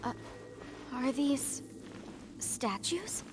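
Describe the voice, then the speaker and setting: A principled young woman archaeologist from a video game. Her voice is high, and she speaks in a prim, earnest way. Faint music sits underneath. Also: lively intonation, drawn-out words, exaggerated voice. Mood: curious. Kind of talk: dubbed game voice acting.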